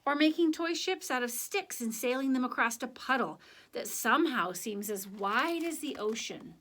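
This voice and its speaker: A woman reading a children's picture book aloud, with deliberate, expressive phrasing and conversational asides to young listeners. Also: high voice. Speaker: children's story reader.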